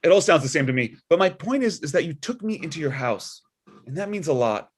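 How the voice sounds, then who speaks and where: A man playing an awkward, earnest widowed father and church deacon in a comedy script read, speaking haltingly. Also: a little high, sing-song, slightly rough. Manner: hesitant, halting.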